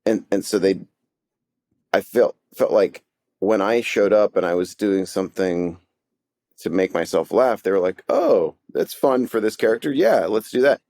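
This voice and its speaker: Lowish halting self-deprecating man. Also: lively intonation, a little mellow, dry recording, clean studio sound. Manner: halting and self-deprecating, many restarts and fillers. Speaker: actor. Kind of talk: casual podcast chat.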